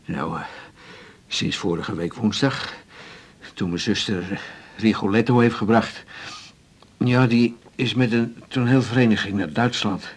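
A man's voice: fairly low pitch, unhurried, slightly rough. An actor playing an elderly, breathless male patient in a radio drama, homely and hesitant, stubborn but amiable. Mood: puzzled, amiable. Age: older.

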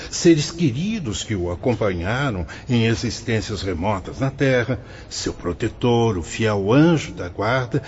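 A man acting as a wise, fatherly spirit guide in a radio drama, patient and solemn, explaining in formal, measured phrases. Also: medium pitch, darkish tone, slight background noise, close to an everyday voice. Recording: dull lo-fi audio with music behind.

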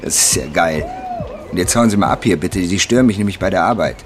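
A man's voice: low voice, fast, over music, natural voice. An actor playing a brusque, contemptuous doorman who turns guests away, in a radio drama. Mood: mocking contempt. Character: sneering, abrupt male doorman turning a guest away.

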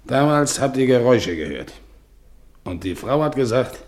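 An actor playing a scornful, bullying working-class carpenter in a 1950s German radio drama, brusque and mocking in manner. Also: male voice, medium pitch, sing-song, normal speed, darkish tone. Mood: threatening.

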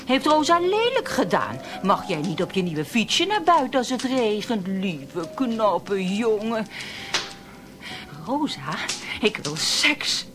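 An actress in a radio play, playing a bitter, lonely woman who resents caring for her brother, with a brittle, intense manner. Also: on the high side. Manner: brittle and intense, mimics others mockingly.

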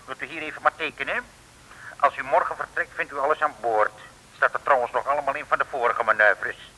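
An actor playing a pedantic, officious government clerk in an old comic radio play, speaking formally. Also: male voice, steady tone, a little fast, sharp tone. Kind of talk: 1950s-60s Dutch comic radio play acting. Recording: old radio recording, boomy reverb.

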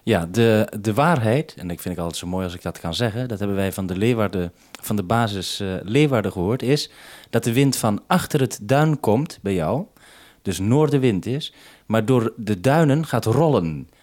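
A man's voice: on the low side, lively intonation, normal speed, a little mellow. A man with a Dutch accent working as a radio host, talking businesslike over a two-way radio link. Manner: businesslike radio procedure, ends turns with "over". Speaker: radio host at base station. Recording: 1970s radio link recording.

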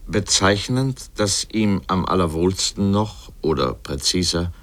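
Deep-voiced man, dry essayistic commentary. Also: a little slow, a bit bright. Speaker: commentator narrating in a literary radio essay.

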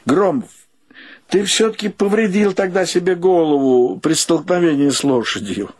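A man's voice: sits high, husky. A male actor playing a stubborn, argumentative bachelor with mock-solemn, pedantic delivery in a radio play. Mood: pedantic, bickering. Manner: theatrical, drawn-out, pedantic. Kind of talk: Russian radio play acting.

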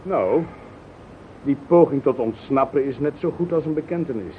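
An actor playing a courteous, probing police investigator, formal and sceptical, in a Dutch radio play. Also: male voice, moderate pace, lightly acted. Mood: brisk, probing. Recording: phone-like old radio recording, faint rumble.

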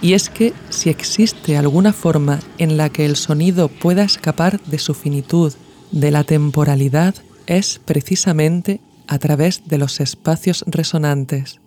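A man from reads a scripted literary audio essay in a measured, formal way. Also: on the high side, dark tone, slight background noise. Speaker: podcast narrator. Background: music.

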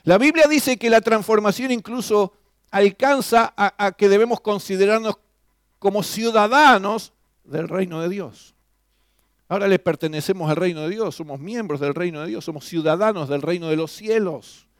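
A man's voice: high-pitched, normal speed, no room sound, studio quality. A man preaching a sermon, emphatic and full of rhetorical questions. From Argentina. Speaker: pastor.

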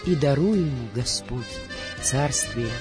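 A man narrating a scripted radio programme in a measured, formal, solemn manner. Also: medium pitch, lively intonation. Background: music.

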